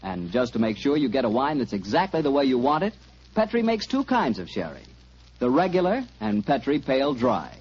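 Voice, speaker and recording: A man's voice: mid-range voice, big pitch swings, fairly quick, a little mellow, breathy edge. An American man announcing and selling on 1940s radio in an emphatic, salesmanlike style. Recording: dull 1940s radio recording.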